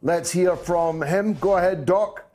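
A man hosting a radio phone-in, brisk and quick to cut in on callers. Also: high-pitched, a little mellow.

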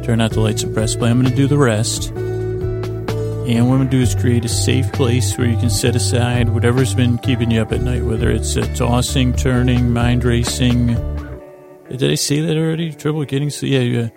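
Male sleep-podcast host: creaky, gravelly voice, flat-leaning delivery, meandering and halting, full of digressions and doubled-back phrases. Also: mid-range voice, over loud music. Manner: halting, repetitive, digressive, self-interrupting. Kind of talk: rambling, meandering bedtime monologue.

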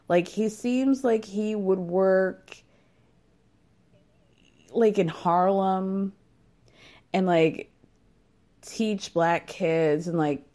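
Young woman, casual gossip commentator, sarcastic and dishy, relaxed dark timbre. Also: medium pitch. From United States. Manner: sarcastic, gossipy, lots of fillers and laughs. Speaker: commentator recapping a reality dating show.

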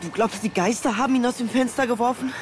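A woman's voice: a little high, fast, a little hiss or hum, close to an everyday voice. Nervous young boy sidekick played by a woman. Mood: frightened, incredulous. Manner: anxious, doubtful. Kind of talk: German audio drama acting.

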